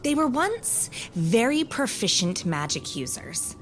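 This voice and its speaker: A woman playing a wise, hospitable and grave keeper of magical lore, telling things deliberately with old-fashioned phrasing in an audio drama. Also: fairly high pitch, big pitch swings, a bit bright, faint music behind. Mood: explaining.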